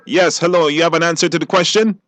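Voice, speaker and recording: A man's voice: fairly high pitch, fast talker, fairly bright tone. A man hosting a live call-in radio show and talking with callers. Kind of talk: live radio phone-in talk.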